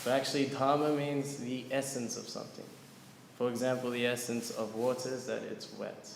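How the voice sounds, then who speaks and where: A man's voice: mid-range voice, steady tone, normal speed, vocal fry, a little hiss or hum. Man giving a scripture class in an echoey hall. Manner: earnest teaching, asks audience questions. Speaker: lecturer on scripture. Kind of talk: devotional class with chanting. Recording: echoey hall with long reverb, faint ambience.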